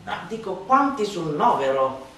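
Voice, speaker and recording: A woman's voice: fairly low pitch, big pitch swings, a little slow, heavy background noise. A woman performing a satirical literary monologue as radio theatre, in a declamatory, mocking manner. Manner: declamatory, mocking, swinging between hushed asides and shouted tirades. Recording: very echoey radio theatre, distant, loud music. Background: loud music and percussion.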